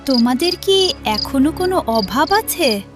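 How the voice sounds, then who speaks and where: A woman voicing a benevolent cartoon fairy, reassuring and measured, who gives solemn warnings, with music behind her. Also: sits high, lively intonation. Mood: stern, questioning. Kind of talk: children's cartoon moral-tale acting.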